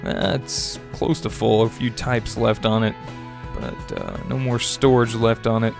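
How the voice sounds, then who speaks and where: A man's voice: medium pitch, level tone, measured pace, creaky. Stuffy young man narrating gameplay. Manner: chatty, upbeat, with little laughs and fillers. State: stuffy, congested. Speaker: gaming video host. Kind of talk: casual let's-play commentary. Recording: faint music bed behind voice.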